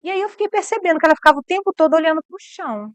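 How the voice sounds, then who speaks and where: A woman's voice: very high voice, lively intonation, very fast, no room sound. A woman from Brazil, a missionary guest, talking earnestly about her mission work and repeating words as she thinks.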